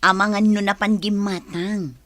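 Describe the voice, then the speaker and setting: A woman's voice: medium pitch, little pitch movement, moderate pace, fairly bright tone, no echo, lightly acted. An actress playing a wronged, hurt and indignant mother in a Filipino radio drama. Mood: disbelieving.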